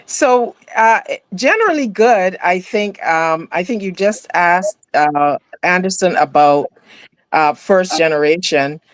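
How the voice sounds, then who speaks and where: A woman's voice: lowish voice, slow pace, no echo. A woman from Barbados talks casually as a guest over a video call. She talks with lots of fillers and restarts. The sound is dry and close. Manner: hesitant, many fillers. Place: home in the US.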